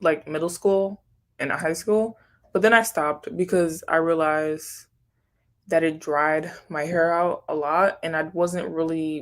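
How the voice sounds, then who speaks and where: A young woman, born in Haiti and raised in Florida, chatting casually as she answers viewer questions, rambling and second-guessing herself. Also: on the low side. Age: young adult. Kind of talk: casual chat.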